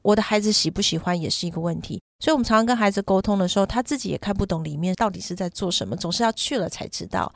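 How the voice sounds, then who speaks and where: A woman's voice: mid-range voice, rapid-fire, vocal fry, few pauses, no room sound. Middle-aged woman explaining in casual talk, dry sound. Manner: explanatory, talks at length with examples. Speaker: podcast guest and parent who runs children's summer camps. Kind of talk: casual conversational podcast talk.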